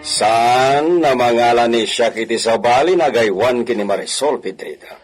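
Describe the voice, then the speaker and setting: A man's voice: mid-range voice, normal speed, music in the background, close to an everyday voice, poor audio. A man with an Ilocano accent acting an authoritative, stern villa master and father in a radio drama. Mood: stern, instructing. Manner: authoritative, sprinkles in Spanish phrases.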